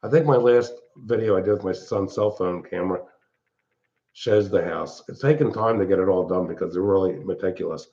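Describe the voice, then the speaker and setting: Loud, blunt older man, low voice. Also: brisk, dull audio. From Pennsylvania. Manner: blunt, irritable, rambling. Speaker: livestream host. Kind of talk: casual livestream chat. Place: large open-plan house at night.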